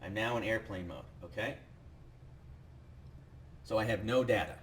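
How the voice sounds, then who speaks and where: A man presenting a software demo as a casual, enthusiastic sales pitch, talking the viewer through each step. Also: unhurried, slight background noise.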